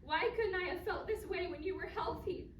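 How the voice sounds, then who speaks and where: A high-pitched female voice from an actress plays an earnest, emotional daughter in a staged drama. She sounds hurt but caring, heard from across an echoey room with loud noise over the recording. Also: normal speed, distant mic. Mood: anguished, resentful. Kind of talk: staged play reading. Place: live indoor staged reading, far from mic.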